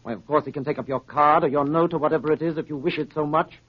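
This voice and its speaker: Muffled old radio play, guy acting a husky male blackmailer, falsely polite, condescending, slightly high voice. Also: fairly even intonation, fast, natural voice. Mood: amused, condescending. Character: smooth-mannered, evasive male blackmailer, falsely courteous. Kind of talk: 1930s radio drama acting. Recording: dull 1930s radio recording.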